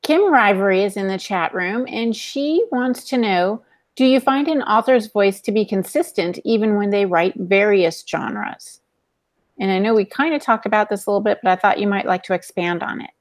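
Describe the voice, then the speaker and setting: A woman's voice: mid-range voice, normal speed, dry recording. Woman co-hosting, dry close sound. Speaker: podcast co-host reading listener questions. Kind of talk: casual panel discussion.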